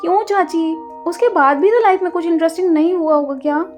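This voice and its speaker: Woman voicing a high-pitched, emotional young girl. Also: fairly quick, a little mellow, soft background music. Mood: pleading. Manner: emotional, earnest. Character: sensitive introverted young girl, earnest, pleading, easily moved. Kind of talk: acted dialogue in audio storytelling.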